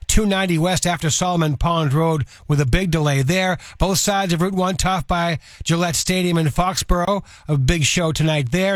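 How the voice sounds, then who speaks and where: A man's voice: on the high side, dry recording, clean studio sound. A man giving a live traffic report on the radio.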